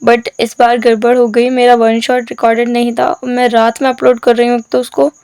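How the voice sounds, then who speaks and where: Hoarse high-voiced woman narrating a story. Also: monotone, normal speed, darkish tone, no echo. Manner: chatty, rambling, mixes in English words. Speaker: story explainer, channel host.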